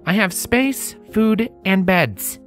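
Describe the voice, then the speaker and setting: A woman voicing a friendly, excitable young male pony tinkerer in a storybook reading, with casual, earnest speech. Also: mid-range voice, takes it slowly, faint music behind, voice pushed up. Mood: earnest, insistent. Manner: casual, earnest, hesitant with filler words.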